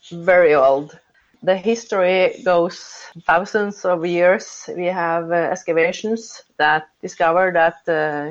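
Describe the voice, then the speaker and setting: A woman with a Norwegian accent talks slowly and carefully, searching for words in English. Her voice sits a little low and comes through a dull, lo-fi remote line. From Norway. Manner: slow, careful, hesitant. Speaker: guest, dog breeder. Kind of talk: interview answers.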